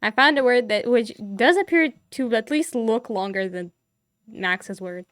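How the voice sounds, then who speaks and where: A woman's voice: on the high side, measured pace, a bit bright, no room sound. A woman, casually explaining words on a podcast, often giggling. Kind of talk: casual chat.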